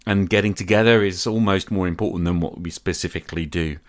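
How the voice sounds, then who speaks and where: A man's voice: deep voice, fast talker. A man hosting a podcast, talking in a conversational way to his listeners. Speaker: podcast host.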